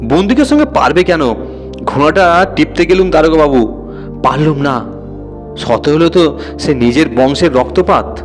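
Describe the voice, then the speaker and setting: A man's voice: a little high, expressive, moderate pace, long pauses, over music, lightly acted. A man voicing a boastful, indignant householder who plays both victim and hero in an audio story. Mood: excited bragging. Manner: indignant, blustering, self-important.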